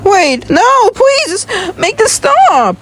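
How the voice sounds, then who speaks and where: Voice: extremely high pitch, big pitch swings, very slow, background noise, pitched above the performer's own voice, heavily acted. An amateur performer playing a panicked survivor trapped by an attacker, frantic and pleading with repeated exclamations. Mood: alarmed, pleading.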